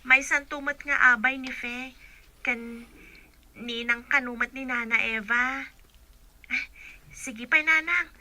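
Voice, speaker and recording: A woman's voice: sits high, steady tone, slow pace, long pauses, pushed and bright, exaggerated voice. A woman with a Filipino accent playing a sweet young working daughter in a radio drama. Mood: dutiful, gentle.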